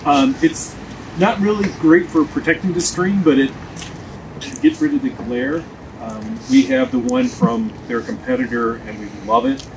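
A man's voice: high-pitched, big pitch swings, unhurried. A man hosting a car owners' meetup, talking loosely to a group outdoors with many ums and restarts. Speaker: meetup organizer, channel host. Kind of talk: casual talk to a group outdoors. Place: snowy parking lot outdoors. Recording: outdoor video with clear background noise.

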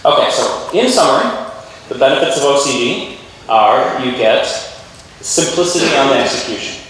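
A man's voice: medium pitch, lively intonation, moderate pace, a bit bright. A man giving an informal, explanatory conference keynote on technology to a lecture theatre. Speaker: keynote speaker. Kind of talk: conference tech presentation. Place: large university lecture theatre with audience. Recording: echoey hall, distant mic, faint noise.

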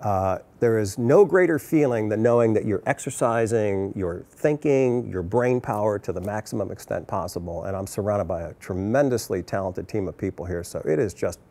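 A man's voice: fairly low pitch, normal speed, few pauses. A man in his fifties, a brewery chief executive, telling stories and answering questions in a sit-down interview in a taproom, gesturing as he talks. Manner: animated storytelling, hand gestures.

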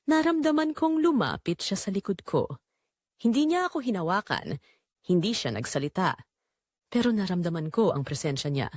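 A woman's voice: medium pitch, dry recording. A woman acting as a Filipina wife and mother bares her guilty secret in a confessional audio drama. Her intonation rises and falls freely in an intimate, dramatic delivery. The sound is dry and close, with a Filipino accent. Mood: haunted, restrained. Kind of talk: first-person confessional audio drama narration in Tagalog.

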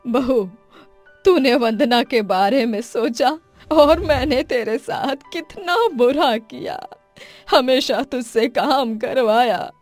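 A woman playing a dismissive middle-aged mother-in-law in an acted family story, with a colloquial, chiding way of talking. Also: high voice. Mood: remorseful. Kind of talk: acted dialogue for an animated moral story.

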